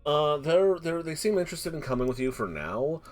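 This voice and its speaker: A man narrating a tabletop roleplaying game as game master, halting and repeating words as he improvises. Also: fairly high pitch, sing-song. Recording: music playing behind the voice. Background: music.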